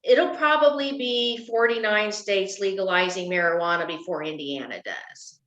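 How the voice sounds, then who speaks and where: A woman's voice: medium pitch, normal speed, noisy background. A woman running for office, answering interview questions in a casual, talkative way and laughing often. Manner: laughs often, self-described loud voice. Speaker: political candidate being interviewed. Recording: echoey, dull lo-fi recording.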